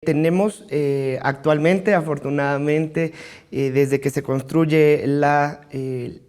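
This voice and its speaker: A man from Mexico City with a central Mexican accent, answering interview questions in a formal, deliberate, explanatory way, often ending phrases with a questioning tag. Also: fairly high pitch, fairly even intonation, a little mellow, clear, resonant tone. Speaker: interviewee, cultural rights advocate and political adviser.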